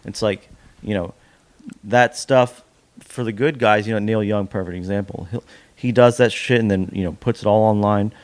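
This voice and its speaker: A man's voice: on the low side, fairly even intonation, normal speed. A man chatting casually as a podcast guest, a country musician who rambles and swears freely. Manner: rambling, profane. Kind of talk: casual interview chat.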